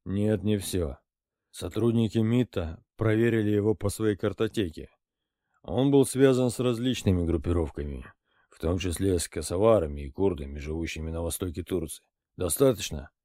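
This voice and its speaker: A man reading an audiobook, voicing a composed middle-aged intelligence colonel who reports in a measured, matter-of-fact way. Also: low voice, warm tone, dry recording, studio quality, pitched below the performer's own voice, close to an everyday voice. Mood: even.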